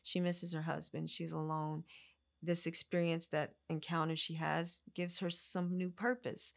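This is a woman from the American Midwest with a low voice and noticeable vocal fry. She speaks conversationally as a guest performer in an interview. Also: level tone, unhurried. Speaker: podcast guest, monologue performer.

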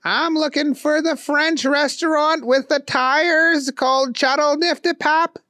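Dopey slob, high and slow, bewildered drawl. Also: lively intonation, a bit bright, dry recording, studio quality, exaggerated voice. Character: dim-witted slobby grown man with bad teeth.

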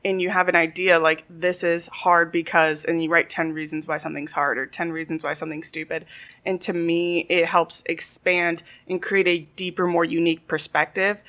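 Young woman comic on a podcast, lowish voice, soft-spoken and thoughtful. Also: level tone, moderate pace. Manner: soft-spoken, reflective, often laughing. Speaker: stand-up comedian guest. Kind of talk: casual interview chat.

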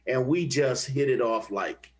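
An older man from the Kansas City area, an actor telling stories in a relaxed interview with many restarts. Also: mid-range voice, measured pace. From Kansas City area, USA. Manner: rambling, many restarts and repeated words. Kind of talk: conversational interview, storytelling.

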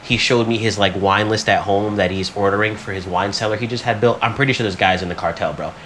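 A man's voice: fairly low pitch, fairly even intonation, fast, a bit bright, slight background noise. A man telling stories in casual podcast banter, slangy and profane, often acting out what people said. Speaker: podcast co-host.

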